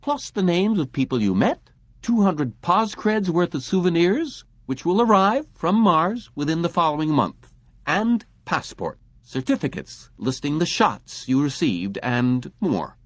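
A smooth, genial middle-aged salesman, as a man reads him pushes his pitch emphatically. The voice sits higher than a plain read and swings lively up and down. It sounds close and dry. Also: measured pace, no echo. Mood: persuasive.

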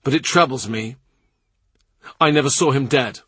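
A man's voice: medium pitch, normal speed, sharp tone, slightly rough, no room sound, voice pushed up. A man reading the part of a troubled middle-aged king who dwells gloomily on a dream of his dead brother. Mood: brooding. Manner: brooding, troubled, speaks at length. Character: weary anxious middle-aged male king.

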